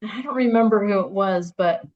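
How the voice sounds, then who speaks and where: A woman in her fifties reminiscing with old classmates, talkative and quick to laugh. Her voice sits mid-range and comes through slightly dull and lo-fi, like a remote call. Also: mid-range voice. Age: 50s. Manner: chatty, laughs often, storytelling. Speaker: podcast guest. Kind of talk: casual reminiscing chat. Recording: dull lo-fi sound.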